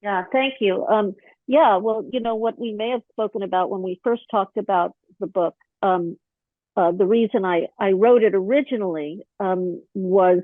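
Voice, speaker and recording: Slightly hoarse woman guest, chatty storyteller, mid pitch, dry thin phone-line audio. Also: normal speed, no echo. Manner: chatty, animated storytelling with many fillers. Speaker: podcast guest, author and actor. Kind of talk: casual interview chat.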